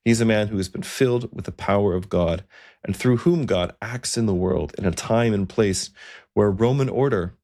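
A man's voice: on the low side, normal speed, a little mellow. A man hosting a podcast, telling a historical story in an earnest, unscripted way with frequent ums and restarts. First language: not a Welsh speaker. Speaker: podcast host.

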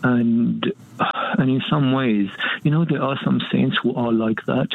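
A man from Romania, a monk priest, speaking as a guest in an earnest, reflective way, with a soft laugh now and then. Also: mid-range voice, steady tone, unhurried, vocal fry, narrow, tinny audio. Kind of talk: conversational interview.

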